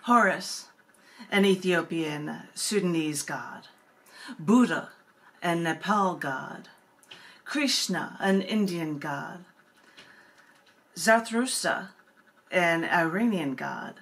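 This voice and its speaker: A woman's voice: fairly low pitch, slow, pauses often, a bit bright. A woman hosting a casual open mic and reading poetry. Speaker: open mic host, poet.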